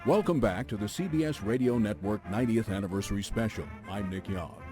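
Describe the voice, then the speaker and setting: A man's voice: on the low side, a little fast, over music. An older man hosting a radio special with polished, scripted anchor narration.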